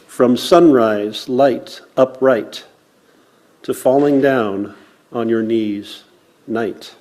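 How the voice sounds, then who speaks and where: A man speaking at a worship service, reciting in a solemn, measured way with deliberate pauses. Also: medium pitch, darkish tone. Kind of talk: land acknowledgement and poetry recitation to a congregation.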